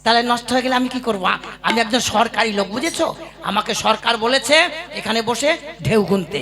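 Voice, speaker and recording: A man's voice: very high voice, quick pace, crowd noise. A man voicing a greedy government official in a puppet cartoon who blocks boats until he is paid a bribe. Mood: smug. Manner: smug, bossy, demanding bribes. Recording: voice over loud music.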